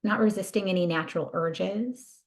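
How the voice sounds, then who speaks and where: A woman leading a guided body scan meditation in a soothing, gentle, unhurried manner. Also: mid-range voice.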